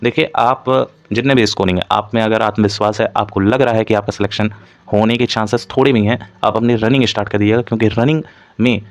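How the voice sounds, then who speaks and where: A man's voice: fairly low pitch, fairly even intonation, fast talker. A man giving an informal analytical lecture as a coaching instructor, mixing in English terms and repeating points as he explains. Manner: Hindi mixed with frequent English terms.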